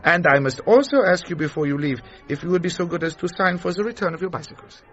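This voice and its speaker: An official of the Nazi regime in a radio drama. His speech is formal and deliberate, his courtesy laced with menace. The sound is dull, with faint music underneath. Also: high voice, a bit bright. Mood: cool courtesy. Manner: formal, measured, insinuating politeness.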